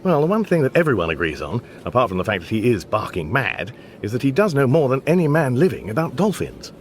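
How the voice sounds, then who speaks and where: A man's voice: medium pitch, very animated, fast, slight background noise, lightly acted. An actor playing a mild, baffled, apologetic Englishman with an English accent in a radio comedy drama, speaking hesitantly with dry understatement. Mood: bemused, rueful. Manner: hesitant, bemused, dryly understated.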